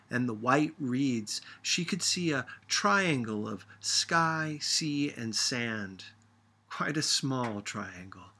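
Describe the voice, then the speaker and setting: A man narrating a literary story as an audiobook reader, with measured and deliberate phrasing. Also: mid-range voice, bright, forceful tone.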